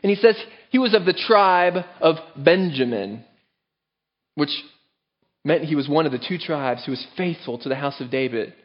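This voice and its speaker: A man's voice: a little high, lively intonation, normal speed, dull audio. A man pastor preaching a sermon to his church in an earnest, emphatic way.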